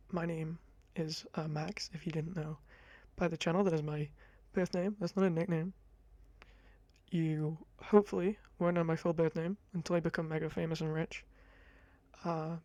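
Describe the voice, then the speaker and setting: Young man, 20s, high-pitched voice, vocal fry, chatty rambling ASMR talk, close mic, dry sound. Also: steady tone, normal speed, darkish tone, no room sound. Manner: chatty, rambling, self-deprecating. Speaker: ASMR creator. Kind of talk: casual ASMR ramble to camera. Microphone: close condenser mic.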